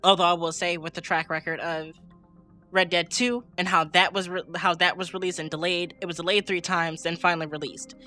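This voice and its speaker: A woman with a bright, forward voice pitched a little low, chatting casually as a podcast co-host. She has a slight cold and talks in an emphatic, slangy way, with faint music behind her. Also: little pitch movement, fairly quick. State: slight head cold with sniffles. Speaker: podcast co-host and game streamer. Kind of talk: casual unscripted podcast chat.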